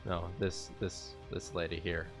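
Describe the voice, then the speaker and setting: A low-voiced man talks casually as a player in a tabletop roleplaying session. He is excitable and informal and swears freely. Faint music plays behind him. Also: a little slow, gravelly. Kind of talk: casual gaming chat.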